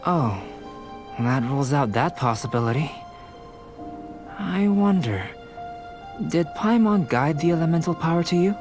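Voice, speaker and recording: An actor playing a polite, detached young male alchemist in a video game, speaking in a measured, formal, scholarly manner. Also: a little high, expressive, over music. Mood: musing, analytical. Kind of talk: video game character acting.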